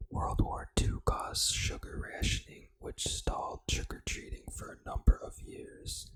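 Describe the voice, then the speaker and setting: Whispering ASMR host. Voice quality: breathy unvoiced whisper. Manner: casual, informal, unhurried reactions to what is read. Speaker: ASMR gaming channel host. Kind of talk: whispered ASMR, reading trivia with casual asides.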